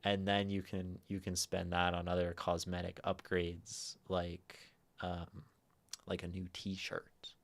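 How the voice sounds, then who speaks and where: A man with a naturally low voice, hosting a livestream and musing softly and aimlessly with many fillers. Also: completely flat delivery, slow. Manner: soft, meandering, lots of fillers; prefers talking quietly and a little higher. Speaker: livestream host. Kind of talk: casual livestream chat.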